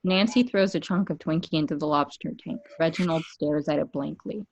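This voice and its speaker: A woman with a quite low voice delivers scripted narration. She reads the stage directions of a screenplay at a table read. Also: fairly quick, a little mellow, slightly rough, lo-fi sound.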